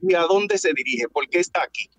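On a slightly dull online connection, a man with a Dominican accent calls in to a radio show. His voice is fairly high for a man and the sound is dry. He tells his anecdote in a casual way. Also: animated, fairly quick, fairly bright tone, dry recording. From Dominican Republic. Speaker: radio caller.

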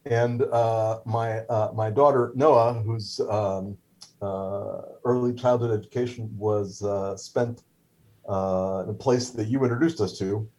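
A man's voice: on the low side, steady tone, takes it slowly, dark tone. A middle-aged man whose first language is Hebrew, telling a story casually with many fillers, restarts and wry humor. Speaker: guest, stroke survivor.

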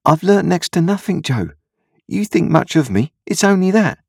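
Guy doing a sad nervous little boy in an audiobook, higher pitched, husky, owning up earnestly. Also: very animated, normal speed, a little mellow, dry recording, studio quality, full character voice. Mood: ashamed, humble. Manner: earnest, confessional. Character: anxious, miserable, humble young country boy.

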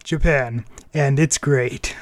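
A man's voice: medium pitch, slow pace, no echo. A man hosting a casual podcast, chatting informally and a little hesitant when he improvises. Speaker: podcast host.

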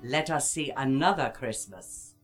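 A gentle, solemn spirit in a radio drama, acted by a woman. The low voice moves slowly and formally, with expressive rises and falls. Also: soft background music. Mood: solemn, knowing. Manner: measured, knowing, formal. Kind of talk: radio play acting.

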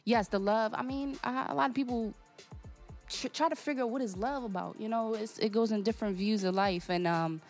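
A woman's voice: a little high, fairly quick, faint music behind. A young woman from Portugal whose first language is Portuguese, a singer chatting hesitantly as a radio guest with many fillers. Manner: soft-spoken, hesitant, many fillers and laughs. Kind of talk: casual interview chat.

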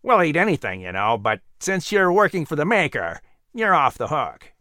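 An easygoing storybook crow, voiced by a man, bright and sharp in tone, with a casual, lively delivery. The recording is dry and close. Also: on the high side, moderate pace, dry recording, exaggerated voice. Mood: breezy. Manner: casual, breezy. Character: friendly male crow, easygoing and helpful.

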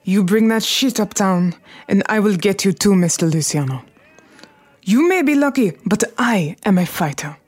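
A woman's voice: mid-range voice, lively intonation, moderate pace, darkish tone, slightly rough. An actress playing a proud, defiant Harlem crime queen in a period radio drama, elegant and commanding, with formal, precise diction.

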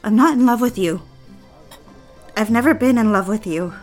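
An actress playing a conflicted, lovestruck young bride-to-be in a romantic period audio drama, speaking earnestly in measured, formal phrases. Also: female voice, a little high, a little mellow, soft background music, natural voice. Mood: regretful, resolute.

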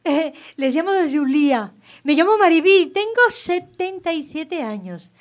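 A woman's voice: high-pitched, lively intonation, unhurried. A woman playing a friendly, chatty retired social worker in her seventies calling a radio contest in a comedy play. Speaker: contest caller. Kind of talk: comic radio theatre acting.

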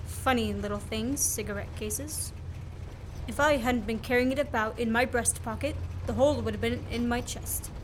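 A woman plays a wrongly accused man on the run in a radio play. The voice is fairly high, with dry, sardonic, clipped banter. There is some noise in the recording. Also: moderate pace, lightly acted. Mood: wry, indignant. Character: wry man on the run, falsely accused, quick-witted.

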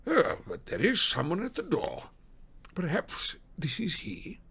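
A man voicing a downcast, deferential police detective in an audiobook, speaking formally in a slow, drawn-out, confidential manner. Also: sits high, huge pitch swings, creaky, fairly bright tone, slightly rough, pitched above the performer's own voice, exaggerated voice. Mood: satisfied, eager. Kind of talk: dramatised audiobook character voice.

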